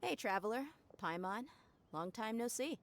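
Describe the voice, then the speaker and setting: A woman's voice: fairly high pitch, lively intonation, moderate pace, slight background noise. A woman playing an easygoing, confident young adventurer in an anime game, talking in a casual, chatty, friendly way. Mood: upbeat, teasing.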